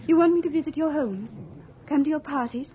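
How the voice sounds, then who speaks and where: A woman acting Death as a beautiful lady, high-pitched, imperious, coquettish, theatrical, muffled vintage radio drama. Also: slight background noise, natural voice. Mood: probing, exultant. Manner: grand, coquettish, deliberate.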